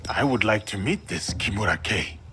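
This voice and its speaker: A man playing a formal, resolute foreign-born samurai in a video game cutscene, speaking in a measured, grave way. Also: fairly low pitch, expressive, noisy background, close to an everyday voice. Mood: grave, courteous.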